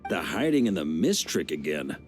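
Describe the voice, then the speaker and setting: A man's voice: very deep voice, sing-song, normal speed. A man voicing a confident, hearty knight grandmaster in a video game, commanding and easygoing as he rallies troops. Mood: rallying. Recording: loud game music behind.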